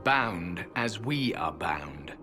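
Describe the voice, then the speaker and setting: Fantasy game sentinel: ancient male elf, deep register, solemn, haughty, archaic formality, scored with music. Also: big pitch swings, very slow, heavily acted. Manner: grave, formal, archaic phrasing. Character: ancient proud male elven temple guardian, solemn, disdainful. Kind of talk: dramatic fantasy game acting.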